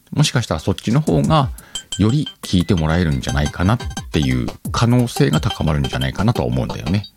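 Deep-voiced man chatting, very lively intonation. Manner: conversational, thinking aloud, addressing listeners directly. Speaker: podcast host. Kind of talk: casual solo chat. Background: background music.

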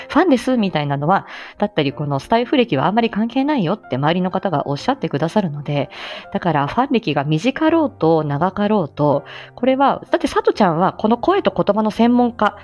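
A woman with a slightly low voice hosts a casual livestream. She speaks humbly and effusively, with a playfully feisty streak. Faint music plays behind her. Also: a little mellow, highs cut off. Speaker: livestream host, amateur voice actor.

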